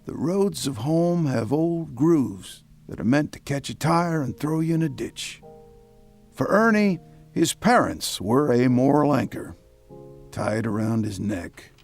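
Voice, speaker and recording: Wry gravelly male narrator. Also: medium pitch, expressive, darkish tone, soft background music. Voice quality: wry, measured. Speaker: narrator and host. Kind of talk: scripted radio drama narration.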